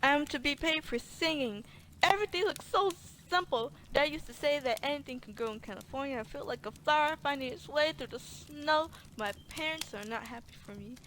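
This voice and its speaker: A woman's voice: high-pitched, a little fast, fairly bright tone, low-quality recording. A woman doing casual game playthrough commentary, speaking colloquially, reacting and reading aloud as she plays. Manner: colloquial, talks back to the game. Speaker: gaming streamer playing through a horror game.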